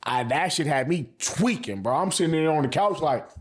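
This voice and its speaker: A man's voice: on the high side, lively intonation, moderate pace. A man hosting a casual anime review, talking in heavy slang and profanity, repeating phrases for emphasis. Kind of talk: casual hype review commentary.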